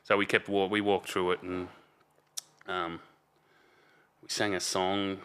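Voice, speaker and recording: A loud Australian man in his fifties with a fairly low but bright, forceful voice. He tells stories casually and rambles, often trailing off mid-sentence and starting over. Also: flat delivery, slow, smooth. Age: 50s. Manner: rambling, trails off and restarts a lot. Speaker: podcast guest. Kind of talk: casual storytelling chat.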